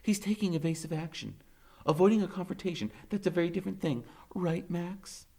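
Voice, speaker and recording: Man voicing a fretful doting grandmother, pitched high. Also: brisk, vocal fry, a little mellow. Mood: worried, insistent. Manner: fretful, imploring. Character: anxious, fussing, doting grandmother. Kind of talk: audiobook character voice.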